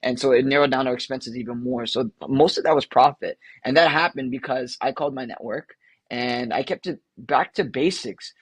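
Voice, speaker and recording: A man from the Philippines, a guest entrepreneur telling long stories in an energetic, casual interview way. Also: mid-range voice, normal speed, slightly rough, dry recording.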